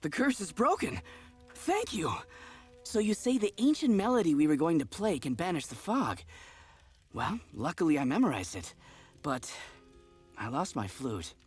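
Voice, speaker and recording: An actress playing a desperate young musician in a fantasy game, husky and pleading. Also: female voice, sits low, big pitch swings, normal speed, long pauses, a little mellow, soft background music, exaggerated voice. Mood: relieved. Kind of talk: fantasy game cutscene acting.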